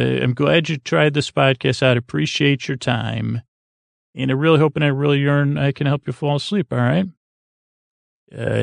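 An American man hosting a bedtime sleep podcast in a creaky, lulling tone, rambling and halting as he stumbles over his words with plenty of fillers. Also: mid-range voice, no echo, clean studio sound. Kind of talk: rambling bedtime monologue meant to lull listeners to sleep.

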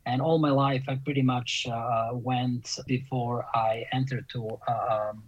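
A middle-aged man with a Serbian accent, a composer and professor, answering interview questions in a halting, reflective way with many pauses. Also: medium pitch, steady tone, no room sound, highs cut off.

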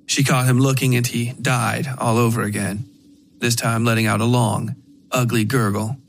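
A man reading dark fiction as a scripted narration, in a measured way with dramatic pauses. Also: mid-range voice, flat delivery, creaky, a little hiss or hum. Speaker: narrator of a horror fiction podcast.

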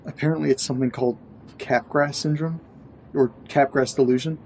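A man's voice: mid-range voice, fairly even intonation, fairly quick, darkish tone, close to an everyday voice. An actor playing a skeptical, anxious, sensible young man in a naturalistic audio drama. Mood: troubled, somber. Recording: clear background noise with ambience.

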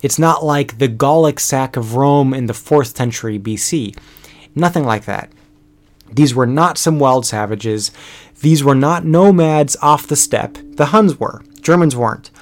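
A man with a middle-range voice talks through a history episode in a relaxed, conversational way. He thinks aloud, throwing in filler words and restarting his phrases as he explains. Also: medium pitch, unhurried. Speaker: history educator. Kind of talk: casual explanatory narration.

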